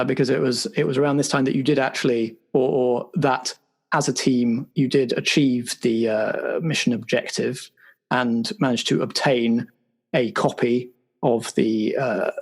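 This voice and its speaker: A man's voice: mid-range voice, vocal fry, slightly rough. A man playing a stern, bureaucratic spy boss chairing a debriefing in an improvised audio roleplay. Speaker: game master.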